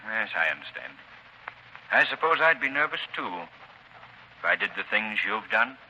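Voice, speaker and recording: An actor playing a courteous, inquisitive gentleman in a 1930s radio drama, speaking in a polite, measured, probing way. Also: lowish voice, sing-song, highs cut off. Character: urbane, courteous, inquisitive gentleman touring a prison. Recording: noisy vintage 1930s radio broadcast.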